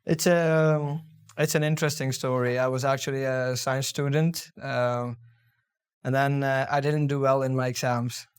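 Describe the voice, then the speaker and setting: Flat-voiced man explaining. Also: medium pitch, unhurried, clean tone. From India. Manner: earnest, explanatory, with frequent fillers and restarts. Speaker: guest, accountant. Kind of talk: podcast interview.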